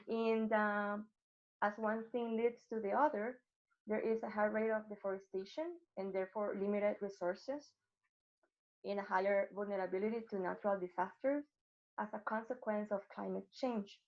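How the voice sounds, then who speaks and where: A woman from El Salvador with a Salvadoran Spanish accent gives a prepared talk as a guest speaker, haltingly searching for words. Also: medium pitch. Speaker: guest speaker, coffee professional. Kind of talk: prepared talk at an online festival. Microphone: video call. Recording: dull lo-fi online call audio.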